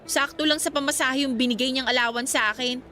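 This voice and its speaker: A woman's voice: high-pitched, steady tone, brisk, sharp tone. A woman playing a sulky, shy eighteen-year-old student girl with a Filipino accent in a radio drama, with a girlish, conversational delivery. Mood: sulky, complaining.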